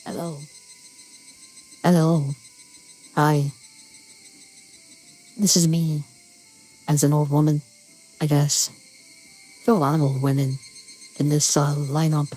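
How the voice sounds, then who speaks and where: A woman using a voice-changer filter that makes her sound like a cute, high-pitched girl, talking playfully. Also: level tone, slow, long pauses, mellow, breathy edge, exaggerated voice, low-quality recording. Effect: high girly voice-changer filter.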